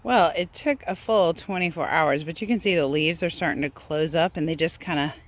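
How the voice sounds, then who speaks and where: A woman's voice: low-pitched, fairly quick. A woman reading and explaining to young viewers as a children's read-aloud teacher and host.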